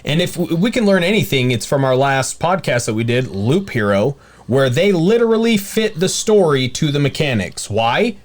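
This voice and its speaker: Guy on a podcast, kinda higher voice, super animated, blunt n jokey, casual opinions. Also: normal speed. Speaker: podcast host.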